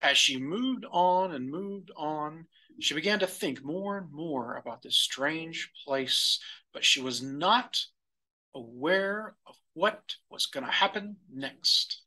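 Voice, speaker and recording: A man narrating a children's picture book for storytime in a deliberate manner. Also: a little high, expressive, pushed and bright, low-quality recording. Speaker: storybook reader.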